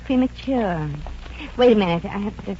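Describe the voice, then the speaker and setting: A woman's voice: medium pitch, moderate pace, mellow, natural voice. An actress playing a plucky, wisecracking working-class young woman with a Brooklyn accent and slangy, ungrammatical talk in a radio comedy. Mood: puzzled. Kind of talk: 1950s radio comedy acting. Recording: muffled, noisy old radio recording.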